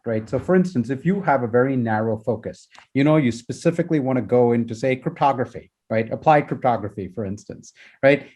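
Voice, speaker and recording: Lively man from India on a call. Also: mid-range voice. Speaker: professor, panelist. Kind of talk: panel discussion. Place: video call from a room.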